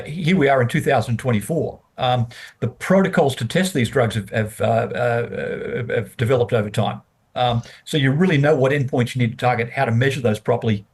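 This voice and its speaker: Brief: male interviewer, husky voice, eager, jumps in. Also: mid-range voice. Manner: lively, talks over the guest. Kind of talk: interview questions.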